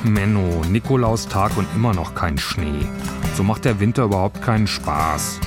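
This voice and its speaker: A man's voice: on the low side, normal speed, loud music behind the voice, close to an everyday voice. A man voicing a spirited, easily miffed young schoolgirl in a children's story. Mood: sulky.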